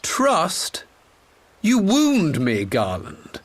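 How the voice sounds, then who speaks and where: A man's voice: a little high, huge pitch swings, very slow, full character voice. A man voicing a smug, pompous rogue engineer in a video game, theatrical and mocking. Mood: wounded, goading. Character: smug, theatrical male rogue master engineer, former imperial tribunus.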